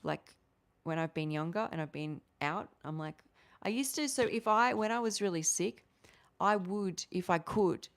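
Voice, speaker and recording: A woman in her forties hosting a casual livestream, talking in a rambling, animated way with many restarts. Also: on the low side, normal speed. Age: 40s. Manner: rambling, animated, restarts often, gestures with hands. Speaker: livestream host. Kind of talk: casual livestream chat answering viewers.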